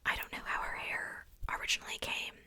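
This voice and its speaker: A woman whispering softly in a casual, chatty ASMR style, rambling along with conversational asides. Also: a little fast. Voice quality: soft unvoiced whisper. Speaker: ASMR video host showing a doll collection.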